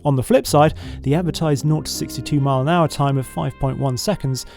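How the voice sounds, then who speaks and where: A man narrating in a smooth, measured scripted voice-over. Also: on the high side, a little mellow. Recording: voice-over with music underneath.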